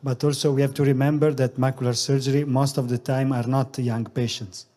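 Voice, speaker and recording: A male eye surgeon from Italy on a conference panel, speaking haltingly with non-native phrasing and many fillers. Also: mid-range voice, level tone, warm tone, big-room sound.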